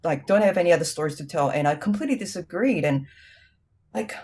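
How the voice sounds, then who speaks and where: A woman with a New Zealand accent, the guest in a casual interview, talking with many hesitant ums and lively rises in pitch. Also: quick pace, husky.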